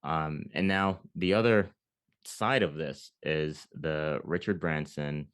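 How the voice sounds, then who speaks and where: A man's voice: extremely low pitch, fairly even intonation, slow, clear, resonant tone. A young man from Jamaica hosting an interview, affirming his guest with enthusiasm. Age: young adult. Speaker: podcast host.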